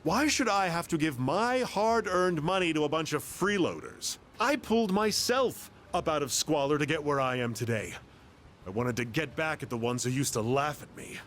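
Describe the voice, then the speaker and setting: A man's voice: a little high, expressive, moderate pace, fairly bright tone, faint music behind. A man playing a smug, boastful middle-aged businessman with an oily manner in a video game. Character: smug, greedy middle-aged businessman flaunting his wealth. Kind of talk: theatrical video game monologue.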